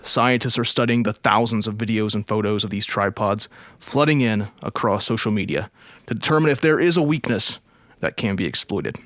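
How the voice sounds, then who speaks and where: An actor as a grave radio news announcer. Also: male voice, lowish voice, moderate pace, a bit bright, dry recording, natural voice. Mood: grave, urgent. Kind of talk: 1930s-style radio drama news broadcast.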